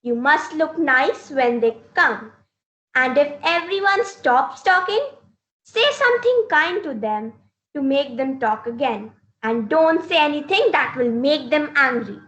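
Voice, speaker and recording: A woman's voice: sits high, animated, unhurried, fairly bright tone. A woman playing a strict mother who instructs her daughter firmly, in a classroom reader's theater play. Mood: advising. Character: strict, advising mother of a talkative young girl. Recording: roomy, echoing room sound.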